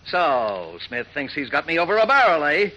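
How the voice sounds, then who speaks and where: Sharp, blustery newspaper editor. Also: male voice, fairly high pitch, very animated, moderate pace, dull audio, heavily acted. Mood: excited, gleeful. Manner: brash, combative, slangy. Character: blustery, hot-tempered male newspaper editor. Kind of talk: 1940s radio acting. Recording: vintage radio recording.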